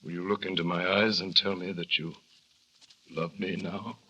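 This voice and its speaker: A tormented husband, bright edgy tone, muffled old radio. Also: male voice, level tone, normal speed. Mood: despairing. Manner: grave, low-spoken confession. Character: tormented guilty husband, grown man, brooding. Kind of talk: 1940s radio acting.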